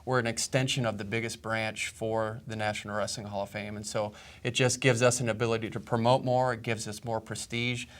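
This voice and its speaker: A man from Iowa who runs a museum, guesting on an interview, speaking in a steady, proud, informative way. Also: lowish voice, fairly quick, few pauses. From Iowa, USA. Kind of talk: casual interview.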